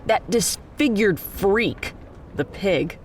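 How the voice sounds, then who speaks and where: A woman's voice: mid-range voice, expressive, slow, a little hiss or hum. A woman playing a fierce, distrustful, determined character in an audio drama, blunt and confrontational. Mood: angry, accusing.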